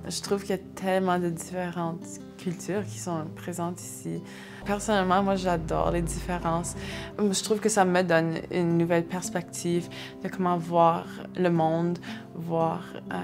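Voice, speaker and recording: A woman speaking enthusiastically in a documentary interview. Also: on the low side.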